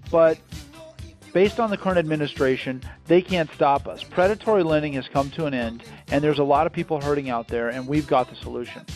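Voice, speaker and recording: A man giving an emphatic, salesy pitch as a company founder on a promotional call, repeating phrases for effect. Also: a little mellow. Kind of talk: promotional interview on a conference call.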